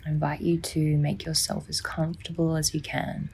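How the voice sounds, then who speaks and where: Soft breathy lady doing a sleep meditation, gentle n slow with pauses, lots of vocal fry, pretty flat pitch. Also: a little hiss or hum. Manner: soothing, gentle, unhurried, with long pauses. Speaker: psychologist guiding a sleep meditation. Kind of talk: guided sleep meditation, scripted narration.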